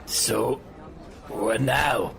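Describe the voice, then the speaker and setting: Man playing curious pub mate, gravelly mid-range voice, casual and uneasy, audio drama with busy crowd behind. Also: medium pitch, drawn-out words, exaggerated voice. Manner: casual, questioning. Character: inquisitive, anxious male pub companion. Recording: crowded room noise behind.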